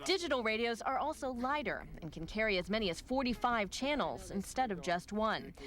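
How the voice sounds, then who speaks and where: A woman TV news reporter reading a scripted report in a measured, formal delivery. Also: fairly high pitch, lively intonation, fairly bright tone.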